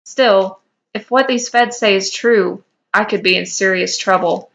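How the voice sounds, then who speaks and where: A woman reading the part of a skeptical, guarded man in fan fiction, doubtful and measured, on a slightly dull lo-fi mic. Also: mid-range voice, vocal fry, fairly bright tone.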